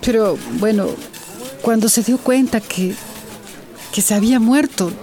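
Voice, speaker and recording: An actress playing a troubled, defensive woman doctor in a radio drama, with a halting way of talking. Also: fairly high pitch, warm tone, close to an everyday voice. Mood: troubled, hesitant. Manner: halting, hesitant, repeats words.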